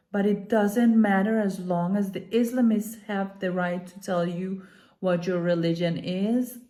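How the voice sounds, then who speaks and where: Mellow-voiced woman from Iran, earnest and halting. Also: mid-range voice. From Iran, moved to Denmark as a child. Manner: earnest, halting, deliberate emphasis. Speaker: commentator, activist speaker. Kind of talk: talking to camera, unscripted opinion commentary.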